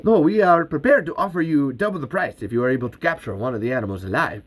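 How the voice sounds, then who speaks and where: Guy putting on a polite eager official, formal, kinda high. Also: animated, fast talker. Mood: courteous.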